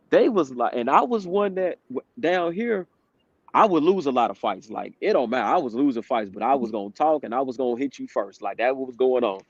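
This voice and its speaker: A man's voice: fairly high pitch, no echo. A man in his twenties giving candid, casual testimony as a guest, laughing between points. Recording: dry dull lo-fi sound.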